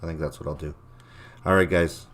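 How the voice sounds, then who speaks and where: A man with a low-pitched, slightly worn voice hosts a livestream. He talks casually and loosely, rambling from point to point and reading out chat comments, with blunt language and swearing. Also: fairly even intonation, brisk, fairly bright tone. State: voice a little worn, losing it. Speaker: livestream host.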